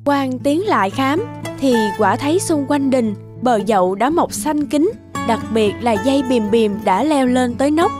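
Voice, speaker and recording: A woman narrating an animated folk tale in a scripted storybook style. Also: high voice, a little slow. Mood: storytelling. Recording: background music under the voice.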